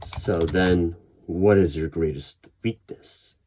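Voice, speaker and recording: A man with a very low, husky voice performs an ASMR interview roleplay. He questions in a measured, probing manner, leaving deliberate pauses as he goes. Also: dark tone. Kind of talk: ASMR roleplay, one-sided job interview. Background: computer keyboard typing.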